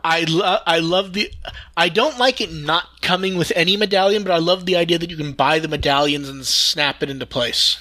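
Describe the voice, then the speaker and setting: A man with a fairly high, very bright voice hosts a casual fan news discussion. He talks enthusiastically and rambles as he goes through items. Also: a little fast. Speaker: podcast host.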